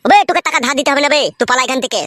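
Man doing a rapid-fire, high-pitched hot-headed cartoon guy. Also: pushed and bright, dry recording. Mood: angry. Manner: foul-mouthed, belligerent. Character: stubborn, lovesick, hot-headed married young man. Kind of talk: crude comedy cartoon dialogue.

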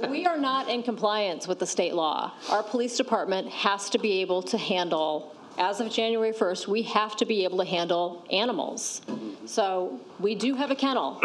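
A woman's voice: mid-range voice, expressive, slight background noise. A woman, an alderwoman, insisting on her point and cutting in during council debate. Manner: insistent, quick to interject. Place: council chamber. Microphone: desk microphone.

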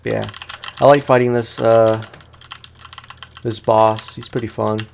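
A man's voice: lowish voice, flat delivery, slow, gravelly, darkish tone, slight background noise. A man giving casual let's play commentary on a video game, chatting to viewers in slangy language. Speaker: gaming YouTuber.

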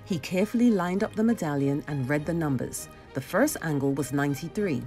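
Female storybook narrator, lowish mellow voice, lively expressive delivery, faint music underneath. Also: fairly quick. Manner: dramatic, animated storytelling. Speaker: storybook reader. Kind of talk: expressive children's read-aloud narration.

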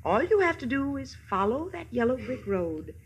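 A woman's voice: sits high, very animated, normal speed, slight background noise. An actress playing a kindly, self-assured good witch, grand and soothing, in a 1950s radio play. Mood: reassuring. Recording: old radio broadcast with music behind.

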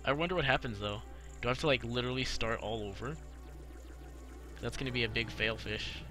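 A young adult man commentating casually on a video game for a live chat, slangy, profane and excitable, often repeating himself. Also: mid-range voice, brisk, soft background music. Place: live game stream with game music behind the voice.

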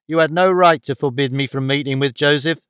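A man's voice: on the high side, a little fast, a bit bright, smooth, no room sound, studio quality, natural voice. A man voicing a tender-hearted teenage girl in an audiobook, a shy but defiant daughter, earnest and pleading. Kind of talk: audiobook character dialogue.

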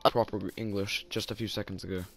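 A man's voice: lowish voice, fairly even intonation, a little fast, faint music behind. A young man with a Southern US accent, rambling and joking through casual gameplay commentary while tired. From southern United States. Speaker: gaming YouTuber.

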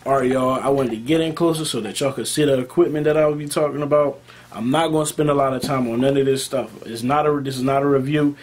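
A young man with an American accent talks casually to the camera like a barber showing his tools. His voice sits at a middle pitch. He is informal and emphatic, coming back to key words to drive them home. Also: steady tone, fast. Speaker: barber and video host. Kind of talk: casual product talk to camera.

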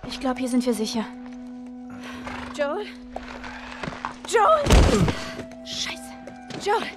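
Game dub role: feisty teen girl survivor, high voice with wide swings, music behind. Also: wildly swooping pitch, extremely slow, full character voice. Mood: panicked, urgent. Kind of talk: dubbed video game acting.

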